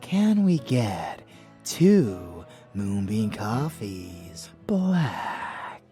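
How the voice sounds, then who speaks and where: A man's voice: lowish voice, very animated, extremely slow, heavy reverb, distant mic, loud music behind the voice, exaggerated voice. A man playing a rebellious vampire lover in an intimate ASMR audio drama, teasing, boastful and doting, with menace underneath. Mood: grudgingly charmed.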